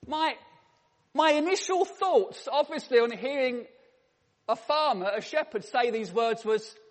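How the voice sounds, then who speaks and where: A man's voice: very high voice, expressive, unhurried. A man preaching a sermon in a church, telling stories with a dry, humorous touch. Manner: humorous anecdotal storytelling. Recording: long reverb in a church hall.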